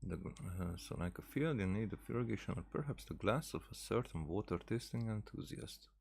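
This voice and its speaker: A man giving casual game playthrough commentary as a streamer, thinking aloud haltingly and repeating words. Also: fairly low pitch, animated, creaky, a little mellow, a little hiss or hum. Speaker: gaming streamer.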